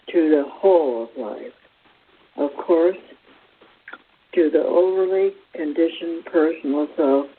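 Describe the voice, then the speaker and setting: A woman host reads spiritual writing aloud at a slow, deliberate pace, now and then stumbling over a word. Her voice is husky, and the audio is thin, like a phone line on the radio. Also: low voice. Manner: deliberate, halting reading with stumbles. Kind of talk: reading a spiritual book aloud.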